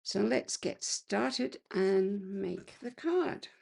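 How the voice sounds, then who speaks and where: Casting: unhurried crafter woman, gravelly and husky, croaky today. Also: medium pitch, animated, a little mellow. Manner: chatty, clears throat often. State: slightly croaky voice. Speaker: card-making crafter. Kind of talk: casual craft tutorial.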